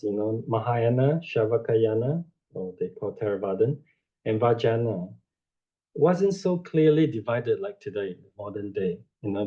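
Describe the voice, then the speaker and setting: Slow, deep-voiced man. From Taiwan. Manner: unhurried and rambling, with frequent "you know" and self-corrections. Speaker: Buddhist teacher giving a talk. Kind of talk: informal dharma lecture. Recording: dull lo-fi online audio.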